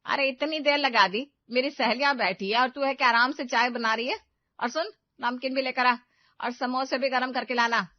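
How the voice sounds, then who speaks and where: A woman's voice: high-pitched, steady tone, normal speed, dry recording, lo-fi sound, natural voice. A woman voicing a harsh, nagging older mother-in-law in an audio story, bossy and contemptuous as she scolds. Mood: bossy, impatient. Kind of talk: Hindi audio story character acting.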